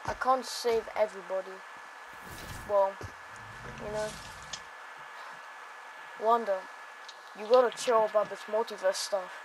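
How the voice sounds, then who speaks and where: A woman playing an exasperated, boastful male sorcerer hero in an improvised amateur game roleplay, speaking haltingly. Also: medium pitch, fairly even intonation, natural voice. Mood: weary, annoyed. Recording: noisy amateur game-capture audio.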